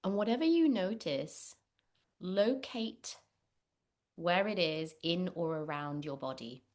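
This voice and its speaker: Slow, low-pitched woman guiding meditation. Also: lively intonation. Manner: gentle, unhurried, with long pauses. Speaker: meditation guide, therapist. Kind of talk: guided meditation, spoken instruction.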